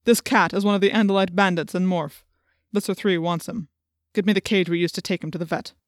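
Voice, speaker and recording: A woman's voice: fairly low pitch, fairly even intonation, a little fast, dry recording, lightly acted. A cruel alien tyrant inhabiting a man's body , acted out by a woman. The delivery is menacing and commanding, with threats pushed through gritted teeth, as in a dramatized storybook. The sound is dry and close. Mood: cold menace. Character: cruel alien slug controlling a male assistant principal, menacing.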